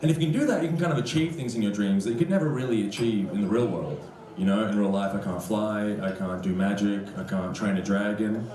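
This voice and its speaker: A man's voice: fairly low pitch, fast talker, a little mellow, reverberant. A young Australian man doing a live stand-up comedy set, joking with the audience in a dry, conversational manner. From Sydney, Australia. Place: on stage in a comedy club. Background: crowd laughter.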